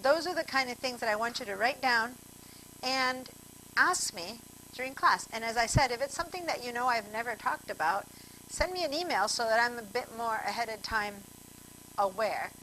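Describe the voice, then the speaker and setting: A woman's voice: fairly high pitch, expressive, moderate pace, bright, forceful tone, a little hiss or hum, rough recording. A woman from Argentina teaching a computer class over a video call, chatty and digressive, speaking straight to her students. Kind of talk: online class instruction.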